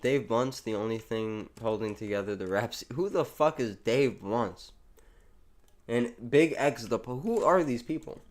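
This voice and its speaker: A man's voice: mid-range voice, lively intonation, unhurried. A young American man chatting loosely on a live gameplay stream, rambling in slang with lots of restarts while reading chat. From United States. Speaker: livestreamer.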